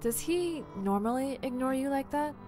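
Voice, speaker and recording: A woman's voice: high-pitched, lively intonation, normal speed. A woman playing a secretive, playful female co-worker in an audio drama, with breezy, teasing banter. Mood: curious, lively. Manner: breezy, flirty banter with laughs. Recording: music bed behind the voice.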